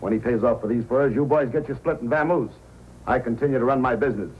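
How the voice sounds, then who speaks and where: An actor playing a hard, scheming gang boss with a curt, domineering manner in an old-time radio drama. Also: male voice, medium pitch, quick pace, husky, phone-quality sound, natural voice. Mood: curt, impatient. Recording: faintly noisy old radio recording.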